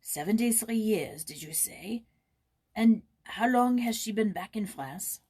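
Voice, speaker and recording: A woman's voice: medium pitch, lively intonation, measured pace, a little mellow, slightly rough. A woman voicing an agitated, bitter old man in a storybook, speaking in an intense, abrupt way. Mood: intent.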